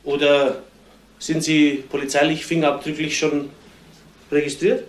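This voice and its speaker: An actor playing a brisk, wry and officious police assistant, chatty in a German crime radio drama. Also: male voice, a little high, little pitch movement, close to an everyday voice. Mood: brisk, explaining. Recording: roomy, distant, slight noise.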